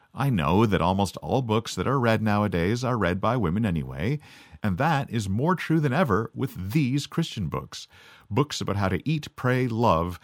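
A man's voice: lowish voice, dry recording. A middle-aged man from Canada delivering a sardonic, scripted monologue as podcast host. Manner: sardonic, dry.

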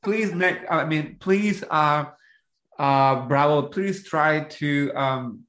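A man from New Jersey co-hosting a casual reality TV recap, talking in a dramatic, halting way and repeating himself. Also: fairly high pitch, a bit bright, reverberant. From Jersey City, United States. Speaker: podcast co-host.

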